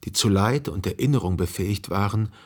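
A man playing a reflective son who narrates his memories in a radio drama, with a husky voice and measured, contemplative delivery. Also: fairly low pitch, a little mellow, natural voice. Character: reflective literary narrator, a grown son remembering.

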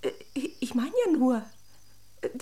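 An actress playing a haughty, dramatic but affectionate toy princess in a children's radio drama. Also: female voice, high voice, expressive, moderate pace, mellow. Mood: hesitant. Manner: pompous, dramatic, exclaiming and lamenting.

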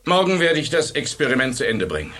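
An actor playing an intense, domineering and defiant high school teacher in a German radio play. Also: male voice, very animated, quick pace, fairly bright tone. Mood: defensive, pressured. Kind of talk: German radio drama acting.

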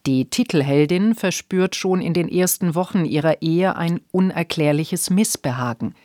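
A woman's voice: on the low side, moderate pace, mellow, dry recording, studio quality. A woman radio announcer reading a scripted introduction to a literary broadcast. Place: dry radio studio.

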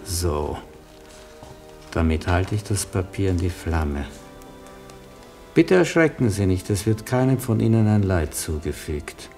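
Calm wry rabbi in a radio play, real low voice, polite, bit of music behind. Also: male voice, unhurried, long pauses, heavily acted. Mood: calm, instructing. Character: calm, wry rabbi who works miracles. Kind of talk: German radio play acting.

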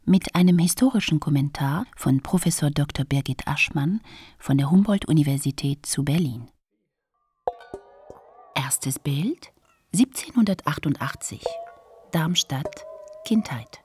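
A woman making scripted radio play announcements of scenes and sources in a breathy, partly whispered voice. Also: deep voice, animated, measured pace, long pauses, dark tone, faint music behind.

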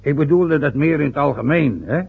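On a dull old radio recording, a pompous older father in a radio play. His voice is fairly high for a man, gravelly and husky. He talks formally, with gruff impatience. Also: animated, a little fast, exaggerated voice.

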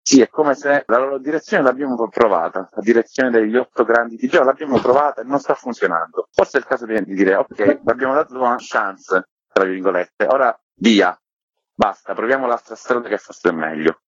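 A man's voice: medium pitch, a little fast, breathy edge, no echo, muffled recording. A man speaking in an earnest, explanatory way, as a theatre maker being interviewed. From Sicily, Italy. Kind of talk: thoughtful interview talk.

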